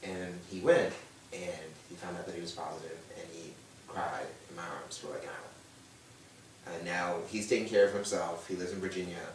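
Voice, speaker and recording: A man's voice: deep voice, flat delivery, normal speed, slight background noise. A man speaking as a guest on a panel chat show, telling his story earnestly and acting out what others said. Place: room with a brunch panel. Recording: echoey room, distant mic.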